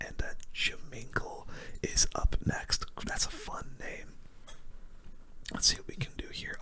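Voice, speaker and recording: A gaming video host whispering ASMR commentary in a casual, chatty way with gamer slang. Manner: hushed, whispering throughout.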